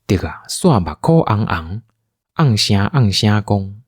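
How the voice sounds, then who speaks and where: A man's voice: fairly low pitch, sing-song, slow, husky, no echo, clean studio sound. A man with a Taiwanese accent narrating a radio drama as a storyteller.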